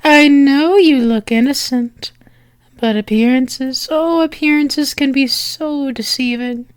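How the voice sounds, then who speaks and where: A woman playing a flirtatious female demon in an ASMR roleplay, teasing and sultry, breathy and often slipping into a whisper, close to the microphone. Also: sits high, no echo. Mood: seductive, teasing. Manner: sultry, teasing, drawn-out with lingering pauses.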